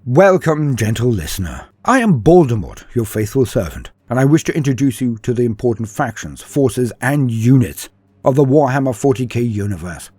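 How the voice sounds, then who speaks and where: A man's voice: medium pitch, mellow, husky, no room sound. A man delivering dramatic scripted narration in a theatrical, grandiose manner as a lore host.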